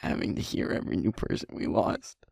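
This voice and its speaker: A man playing a guilt-ridden young werewolf boyfriend in an intimate audio roleplay, speaking haltingly and rawly in a husky, creaky, breathy voice. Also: darkish tone, no room sound, clean studio sound, close to an everyday voice. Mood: grieving, hopeless. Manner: halting, emotionally raw, broken-up phrases.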